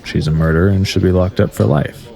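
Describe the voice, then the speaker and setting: A man's voice: low-pitched, fast, gravelly, warm tone. A man playing a hard, jaded cynic in an audio drama, blunt and scornful. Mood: cynical.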